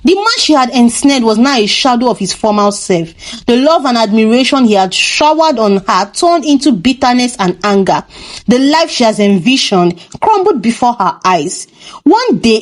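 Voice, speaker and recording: High-pitched woman narrating, lively intonation. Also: normal speed. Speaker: storyteller, narrator. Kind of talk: scripted folktale narration.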